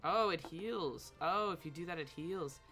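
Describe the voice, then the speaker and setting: Casual gameplay commentator: mid-pitched, slow, bright, playful, sweary self-talk. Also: faint music behind, low-quality recording. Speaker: game streamer.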